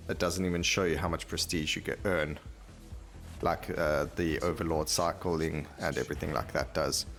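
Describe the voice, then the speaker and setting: A man's voice: very deep voice, fairly even intonation, normal speed, a bit bright. A man giving casual gameplay commentary, rambling and thinking aloud as he plays. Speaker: gaming video host. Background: game music.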